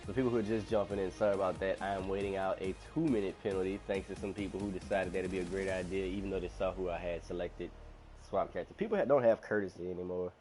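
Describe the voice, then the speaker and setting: A man's voice: low voice, fast, a little mellow, soft background music. A man streaming a game live, chatting casually and rambling, his voice nasal because he is still getting over being sick. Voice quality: nasal from a cold. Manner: chatty, rambling, complains about rude players. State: recovering from illness. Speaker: gaming streamer.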